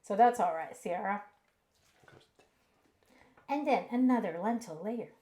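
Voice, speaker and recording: A woman with an Indonesian accent chats through a home cooking stream. She reads out viewer comments in a relaxed, rambling way, with plenty of ums and easy laughter, at a middling pitch for a woman. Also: a little slow. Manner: chatty, laughs often, hesitant fillers. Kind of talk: casual chat, reading viewer comments.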